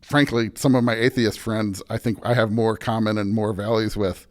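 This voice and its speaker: Low-voiced American man, a thoughtful pastor. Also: fairly even intonation, normal speed. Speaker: pastor, podcast guest. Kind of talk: casual reflective conversation.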